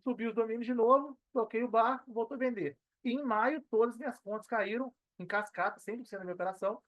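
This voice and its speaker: A man's voice: extremely high pitch, fast, no room sound. A man with a Brazilian Portuguese accent speaking as a podcast guest in a casual, motivational way, repeating phrases for emphasis.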